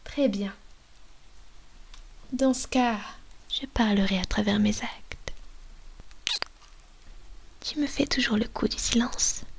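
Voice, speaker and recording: A woman's voice: a little high, creaky, breathy edge, full character voice. A woman voicing a possessive, domineering female vampire mistress in an ASMR roleplay, mostly whispering, coaxing and commanding into a binaural microphone.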